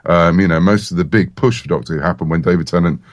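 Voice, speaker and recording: A man's voice: deep voice, fast, dry recording, clean studio sound. A man chatting casually as an interview guest, with a wry, self-deprecating manner. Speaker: interview guest, comics and audio writer.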